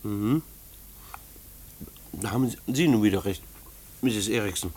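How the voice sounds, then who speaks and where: An actor playing a kindly, patient mail carrier in a German radio play, reticent and gently soothing. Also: male voice, fairly low pitch, measured pace, slight background noise.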